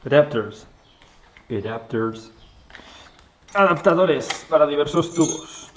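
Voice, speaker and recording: A man from Spain talking casually while he works on a motorcycle, halting and searching for English words with many fillers. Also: a little high, expressive, a little hiss or hum. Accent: Spanish. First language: Spanish. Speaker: motorcycle garage vlogger. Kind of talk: casual workshop talk, switching between English and Spanish. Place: home garage workshop.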